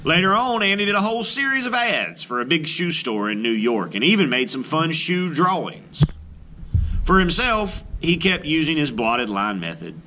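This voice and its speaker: A man reading a children's book aloud as an art teacher, with expressive, emphatic delivery. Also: on the high side, a little hiss or hum.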